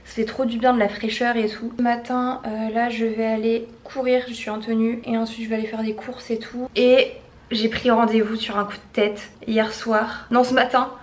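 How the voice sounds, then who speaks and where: A woman's voice: on the high side, flat delivery, moderate pace. A young woman with a French accent, chatting casually to camera in a personal vlog, with lots of fillers and restarts. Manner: chatty, many fillers and self-corrections. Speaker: vlogger. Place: outdoors on walks and at home. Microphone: handheld compact camera.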